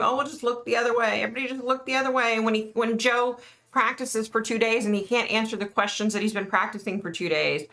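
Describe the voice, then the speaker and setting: A middle-aged woman with a deep voice, hosting a live stream and chatting bluntly with viewers, ranting and opinionated. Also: pushed and bright. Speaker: psychic livestream host. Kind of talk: casual live chat answering viewers.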